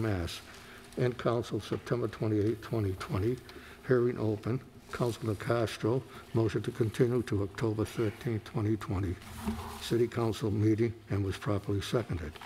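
Clerk type, man, low voice, even formal reading of agenda items, faint noise behind. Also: poor audio. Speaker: city clerk. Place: council chamber.

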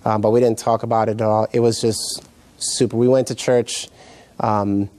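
Mellow, halting middle-aged man. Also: lowish voice, flat delivery. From Jamaica, raised in New York. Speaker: guest pastor. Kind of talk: personal testimony in a TV interview. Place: indoor TV studio set, seated.

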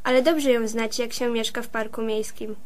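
A woman's voice: on the high side, fairly even intonation, normal speed, close to an everyday voice. A female performer playing an eager, polite young girl duckling in a scripted children's audio play.

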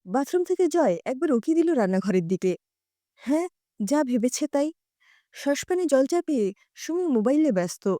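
A woman narrating a scripted audio story in an expressive storytelling style. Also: high-pitched, normal speed, pauses often, no echo, clean studio sound.

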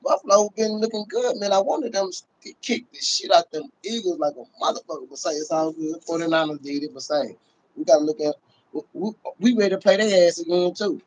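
Man, high voice, casual sports livestream talk, slang, frequent swearing, repeated catchphrases, phone recording indoors. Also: brisk, a little mellow, dull audio. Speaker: livestream host and Cowboys fan. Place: small room indoors. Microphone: phone at close range.

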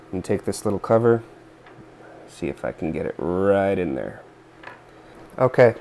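Man in his 30s, lowish voice, relaxed DIY tutorial, thinking aloud, faint hiss in a home room. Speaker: DIY video host. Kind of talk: casual step-by-step tutorial. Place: indoor living room, seated at a workbench board.

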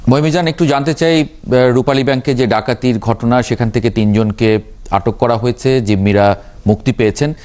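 A man's voice: medium pitch, a little fast, a little mellow. A man with a Bangladeshi accent presenting the news as an anchor, moving between scripted narration and live handovers.

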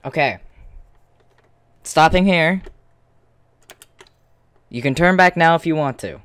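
A man's voice: on the high side, takes it slowly, a bit bright, smooth, no echo. A man giving casual, offhand gameplay commentary in short fragments as a streamer. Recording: game music behind the voice.

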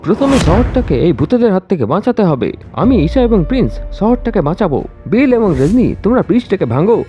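A man's voice: high voice, very animated, fast talker, pitched above the performer's own voice. A man voicing a bold young ghost hunter leader in a cartoon, urgently rallying the team. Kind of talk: cartoon adventure dialogue. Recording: music behind the voice.

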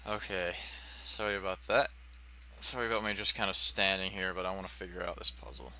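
Bright, low-pitched man doing game commentary. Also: steady tone, brisk, a little hiss or hum. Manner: sweary, self-deprecating. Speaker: let's player. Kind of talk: casual gameplay commentary.

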